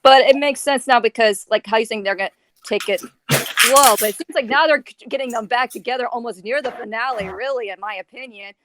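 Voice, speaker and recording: A woman chatting casually on a group voice call, expressive and repeating phrases for emphasis. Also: fairly high pitch, a little fast, noisy background. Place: voice chat call over the internet.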